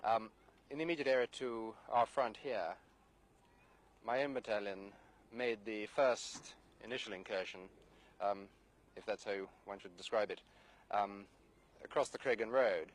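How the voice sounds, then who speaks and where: A man speaking as an army officer, giving a hesitant, formal and understated briefing with many halting pauses. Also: lowish voice. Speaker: British Army battalion officer. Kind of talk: unscripted briefing to an interviewer. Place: outdoors, overlooking the area.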